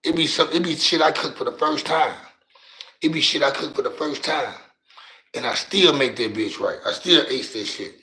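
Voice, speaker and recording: A middle-aged man with a raspy voice and a Louisiana accent, chatting on his livestream in slangy, rambling talk and often tripping over his words. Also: fairly high pitch, moderate pace, sharp tone, reverberant, low-quality recording. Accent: Louisiana Southern US. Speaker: livestream host. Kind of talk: casual livestream chat.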